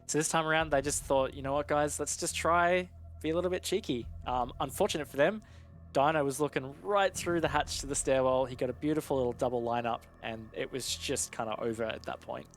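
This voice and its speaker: A man giving conversational live esports analysis in a measured, explanatory and informal way. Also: mid-range voice.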